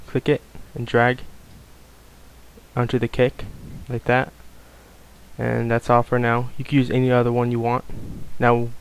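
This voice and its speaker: A man giving a casual, unscripted software tutorial, explaining things informally step by step. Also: medium pitch, monotone, unhurried, pauses often, clean tone, low-quality recording. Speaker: tutorial presenter.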